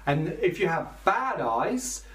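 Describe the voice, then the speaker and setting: A man's voice: a little high, very animated, takes it slowly, fairly bright tone. A man preaching a sermon, explaining scripture in a conversational way with small restarts. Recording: roomy, from a distance.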